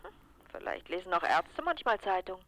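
A woman's voice: on the low side, big pitch swings, moderate pace, background noise. A woman acting in a German radio crime play as a detective's secretary, polite, cool and dryly witty. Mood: cool, arch. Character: cool, quietly teasing female detective's secretary.

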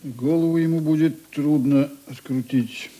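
A man's voice: fairly high pitch, steady tone, a little slow, dark tone, close to an everyday voice. An actor playing a weary, laconic male writer in a radio drama, speaking in a subdued, reluctant way. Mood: musing, drowsy. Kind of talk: Russian radio drama dialogue.

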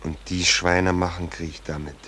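A man's voice: low-pitched, flat delivery, moderate pace, bright, forceful tone. An actor playing a laconic, wry merchant seaman in a 1940s German radio play, terse and plain-spoken with a sardonic edge. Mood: bitter, sardonic. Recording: noisy 1940s radio drama recording.

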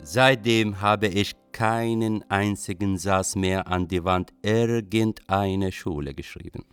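A low man looking back on a rebellious youth, dry and reflective. It is a radio play monologue with guitar music faintly underneath. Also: steady tone, slow, clean tone. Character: Baghdad man wryly confessing his rebellious schooldays. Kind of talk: scripted radio drama monologue.

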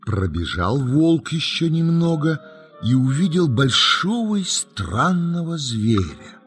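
A man's voice: a little high, very animated, slow, faint music behind. A man narrating a children's nature tale in a folksy, dramatic storytelling style, savouring his words.

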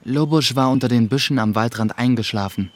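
Actor as a reflective young apprentice, over music. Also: male voice, medium pitch, fairly quick, close to an everyday voice. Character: young male mill apprentice, thoughtful and guarded storyteller. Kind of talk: scripted first-person narration in a German radio play. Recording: music behind the voice.

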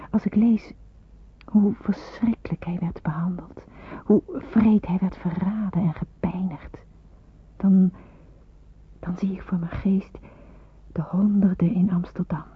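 Earnest wartime woman, intense dramatic monologue, lowish husky voice, tinny narrow radio recording. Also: heavily acted. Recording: muffled phone-like old radio play recording.

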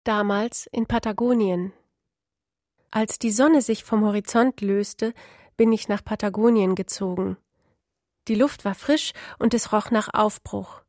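A woman's voice: mid-range voice, steady tone, unhurried, a little mellow, dry recording, clean studio sound. A woman author reading her own literary prose in a narrative style.

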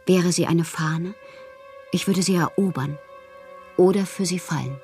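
A woman playing a moody, fanciful bohemian poet in a radio drama, reading her confessional letters aloud with expressive, theatrical, poetic phrasing. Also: on the low side, unhurried, faint music behind, natural voice. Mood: morose, impassioned.

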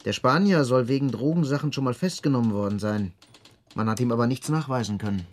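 A man acting a junior police officer in a radio drama. His husky, medium-pitched voice reports to the boss in a casual, matter-of-fact way. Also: fast talker, natural voice.

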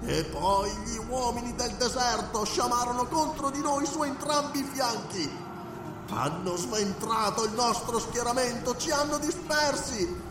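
A man's voice: very high voice, lively intonation, a little slow, voice pushed up. A man voicing a wounded, panicked male priest crying out through a crystal orb, in a frantic wailing manner. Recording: echoey and distant, loud music behind.

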